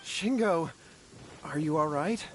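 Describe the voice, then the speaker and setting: A man's voice: a little high, lively intonation, slow, fairly bright tone, slight background noise, full character voice, rough recording. Earnest, worried young man. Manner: deferential, apologetic. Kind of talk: video game voice acting.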